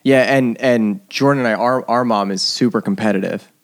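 A man's voice: mid-range voice, normal speed. A young man co-hosting a casual podcast, rambling through his questions with restarts. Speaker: podcast co-host.

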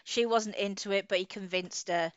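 A woman whose family is from Ireland, co-hosting a casual book chat in an animated way and laughing often. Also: mid-range voice, normal speed, no room sound. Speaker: podcast co-host. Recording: dull lo-fi audio.

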